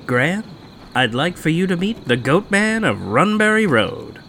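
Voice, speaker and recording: Animated, indignant man, sarcastic menace. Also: fairly high pitch, unhurried, a little hiss or hum, exaggerated voice. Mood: menacing, scornful. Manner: sarcastic, profane, confrontational. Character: indignant, menacing man defending his odd appetite, mocking and defensive. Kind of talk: audio drama acting. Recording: music playing underneath.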